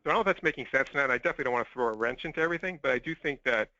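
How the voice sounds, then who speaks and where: A man talks very quickly in a mid-pitched, bright, tense voice. He offers his view tentatively, over a dull, dry conference line. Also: lively intonation, dry recording. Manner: tentative, qualifying. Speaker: call participant, recruiting group organizer. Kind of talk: informal opinion on a conference call.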